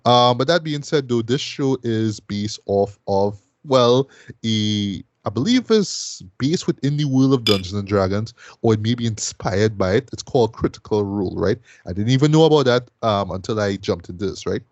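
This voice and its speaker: A man's voice: lowish voice, animated, normal speed, highs cut off, background noise. A man from Trinidad, casually reviewing a show as a podcast host, rambling with lots of fillers and "right?" tags.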